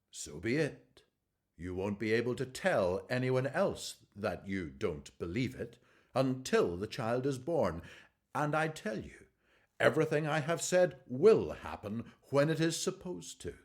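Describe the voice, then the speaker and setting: An actor playing an angel, a measured and authoritative messenger of God, in a radio drama. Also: male voice, mid-range voice, sing-song, darkish tone. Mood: stern. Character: angel Gabriel, calm, authoritative, stern male messenger of God.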